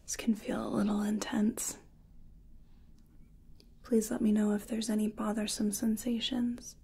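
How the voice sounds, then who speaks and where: Female ASMR performer as a soothing energy healer; breathy, crackly soft whisper, very slow delivery. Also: medium pitch, level tone. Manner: gentle, soothing, unhurried. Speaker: ASMR performer role-playing a reiki practitioner. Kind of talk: soft-spoken ASMR personal attention roleplay.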